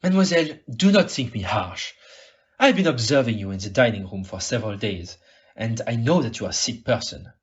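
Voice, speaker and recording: A man's voice: medium pitch, expressive, brisk, lightly acted. A man playing a shrewd, formal detective in an audio play, questioning politely and precisely, on a muffled recording. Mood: grave, pointed. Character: shrewd, courteous male detective, probing and precise.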